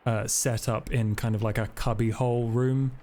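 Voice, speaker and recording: A man's voice: medium pitch, flat delivery, moderate pace, warm tone. A man acting as game master, improvising narration for a tabletop role-playing game.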